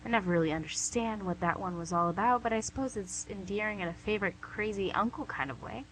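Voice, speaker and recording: A woman's voice: mid-range voice, quick pace, close to an everyday voice. An actress playing the Devil as a playful, charming, smug female boss in a comic radio play, chatty and theatrical. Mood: playful, vain. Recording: noisy amateur recording with hum.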